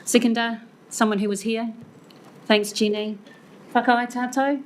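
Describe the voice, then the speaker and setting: A woman's voice: on the high side, steady tone, rough recording. Woman chairing a council meeting. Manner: brisk and procedural, some ums. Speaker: committee chair. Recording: webcast with faint room noise.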